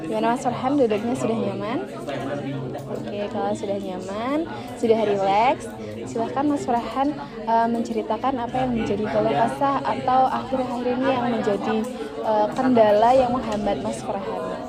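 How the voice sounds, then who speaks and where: A woman's voice: a little high, fairly even intonation, moderate pace. A young woman speaking as a student counselor, politely and reassuringly asking questions in a counseling session. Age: young adult. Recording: busy public hall, loud crowd chatter.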